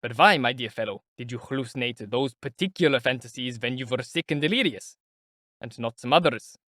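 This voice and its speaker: A man's voice: mid-range voice, fairly quick, a bit bright, no room sound, studio quality, close to an everyday voice. A man voicing a sly, sardonic middle-aged male investigator who talks in a chatty, teasing, politely mocking way. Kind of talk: audiobook character voice.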